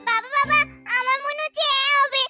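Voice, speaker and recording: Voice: very high voice, steady tone, a little fast, pitched above the performer's own voice. A performer voicing a frightened, whiny little village girl who pleads urgently in a children's doll story. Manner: whiny, exclaiming, repeats calls and pleas. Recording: children's music behind the voice.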